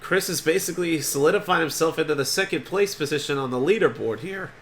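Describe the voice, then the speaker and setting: Congested male game-show host, upbeat, chatty, fairly high voice, faint noise. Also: fast talker. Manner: energetic, chatty, throat-clearing. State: congested from allergies. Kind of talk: homemade game-show hosting.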